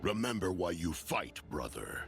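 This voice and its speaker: An actor playing a stern, proud warrior general in a video game, speaking in a grim, terse, commanding manner. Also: male voice, deep voice, big pitch swings, a little slow, a bit bright, soft background music, full character voice. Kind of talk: video game character voice lines.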